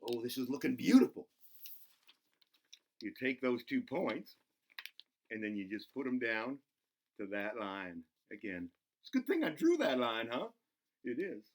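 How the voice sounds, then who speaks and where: An excitable buzzard acted out by a man hosts a children's show with goofy, playful energy. The mid-pitched voice swings widely up and down, breaking into its own sentences and chatting to itself in the third person as it coaxes the kids along. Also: measured pace, long pauses, a little hiss or hum. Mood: playful, encouraging. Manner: giggly, self-interrupting, talks to himself in third person. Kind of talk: playful craft demonstration for children.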